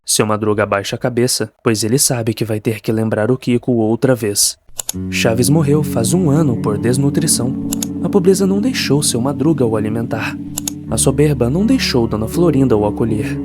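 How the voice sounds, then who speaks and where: A man with a Brazilian accent reading a scripted horror story as its narrator. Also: mid-range voice.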